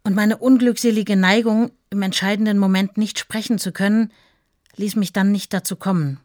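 A woman's voice: mid-range voice, fairly even intonation, normal speed, darkish tone, no echo, studio quality, close to an everyday voice. An actress playing a devoted, earnest woman reading out her love letters intimately in a radio play. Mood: anxious, confessing.

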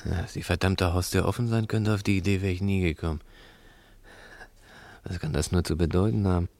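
An actor playing a domineering, mocking conspirator who leads a murder plot in a German radio crime drama, bossy and deliberate in manner. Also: male voice, sits low, fairly even intonation, gravelly, a little mellow. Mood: puzzled, sardonic.

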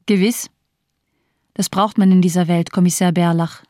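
A woman's voice: fairly low pitch, fairly even intonation, normal speed, warm tone, no room sound, clean studio sound, lightly acted. A woman playing a cold, mocking and unmoved doctor in a radio crime drama, cool and matter-of-fact. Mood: cool, indifferent.

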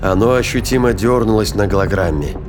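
Lowish-voiced male narrator over music. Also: expressive, a little fast. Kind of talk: scripted dramatic narration of science fiction thriller. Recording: music behind the voice.

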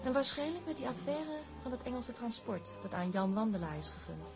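An actress playing a probing, insistent young fiancée in a radio drama. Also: female voice, a little high, big pitch swings, a little fast. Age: young adult. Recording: music behind the dialogue.

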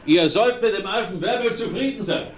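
A man's voice: sits high, fairly quick, breathy edge. An actor playing a cheerful, wry old Western frontiersman with a colorful, folksy manner in a 1970s radio play. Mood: amused. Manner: chuckling, colorful, folksy exclamations.

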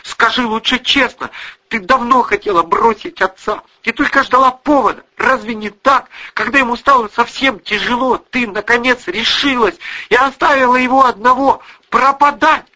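A man's voice: very high-pitched, animated, normal speed, few pauses, pushed and bright, lo-fi sound, voice pushed up, exaggerated voice. A man voicing a hurt, defiant teenage daughter in an emotional, accusing manner for an audiobook story. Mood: angry, defiant.

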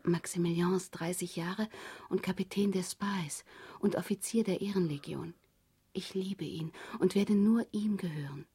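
An earnest young woman in a German radio play, devoted and in love. Her voice is very breathy and hushed, with a mellow, dark tone. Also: lowish voice, moderate pace. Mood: confiding, pleading. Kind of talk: German radio drama acting.

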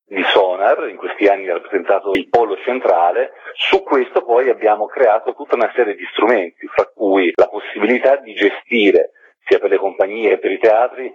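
A man's voice: lowish voice, normal speed, slightly rough. A man being interviewed as a guest, courteously and articulately explaining a project. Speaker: guest, theatre company artistic director. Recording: muffled, narrow telephone line into radio.

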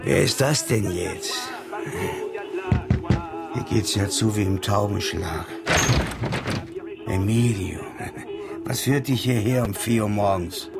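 An actor playing a gruff, practical man in a radio crime drama, curt and colloquial. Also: on the low side, slow pace, pauses often, over loud music. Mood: weary, curt. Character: gruff, practical male undertaker and underground activist.

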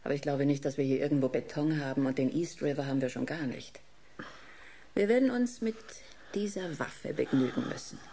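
A woman's voice: deep voice, a little fast, warm tone, slight background noise. An actress playing a smug, murderous female director posing as a gangster boss in a German radio crime drama, savouring her words with theatrical mockery. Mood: mocking, gloating. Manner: mocking, theatrical, savouring each word.

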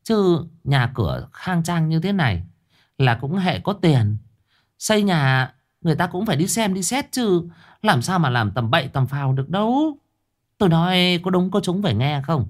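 Man voicing a gossiping village woman, raised pitch, opinionated, lecturing. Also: very animated, moderate pace. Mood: know-it-all.

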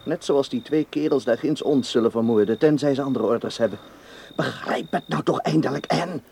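An actor playing a tense, hard-edged secret agent in a radio drama, talking tersely and urgently. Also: male voice, mid-range voice, a little fast, vocal fry, darkish tone, natural voice. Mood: urgent, insistent. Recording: faint background noise.

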